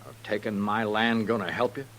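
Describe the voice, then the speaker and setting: Man playing proud weary settler, low voice, rural American, terse, rustic, old radio western, humming recording. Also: fairly quick, a bit bright, slight background noise, lightly acted. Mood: wary, bitter. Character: weary, proud male frontier settler, quick to anger.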